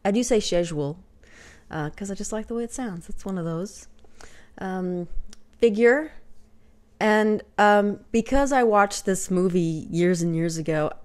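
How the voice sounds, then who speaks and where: A woman's voice: mid-range voice, measured pace. A middle-aged woman with a light Texas accent talking casually into a webcam at home, chatty and self-deprecating, with lots of fillers. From Texas, USA. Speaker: vlogger. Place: small home room with shelves, indoors. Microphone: webcam at desk.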